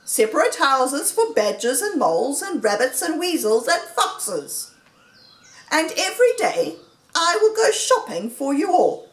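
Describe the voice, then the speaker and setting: Woman as a grand, high-pitched jubilant fox leader. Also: sing-song, measured pace, echoey room. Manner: grand, rallying oratory. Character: jubilant, boastful male fox leader giving speeches.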